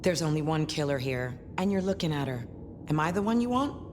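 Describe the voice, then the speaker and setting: A hard, threatening vampire woman from a video game. Her voice is very low and her lines are curt, fiercely protective. Steady ambient noise sits clearly behind her. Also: brisk. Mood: hostile, curt.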